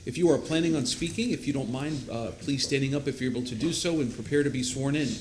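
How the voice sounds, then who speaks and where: A man chairing a city council meeting, running votes and procedure with halting fillers and restarts. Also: medium pitch. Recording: echoey council chamber with noise.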